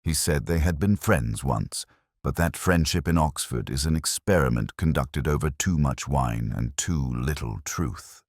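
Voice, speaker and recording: A man playing a brooding, melancholy police detective, narrating his story in a measured, solemn, literary manner. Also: very deep voice, expressive, a little mellow, dry recording, studio quality, heavily acted. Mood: brooding, reflective.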